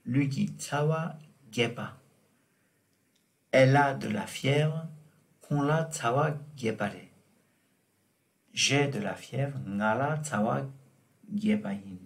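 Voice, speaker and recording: A man teaching French vocabulary, slow and deliberate, repeating each word and example as he explains it. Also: mid-range voice, creaky. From Tibet. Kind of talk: vocabulary lesson explained in Tibetan.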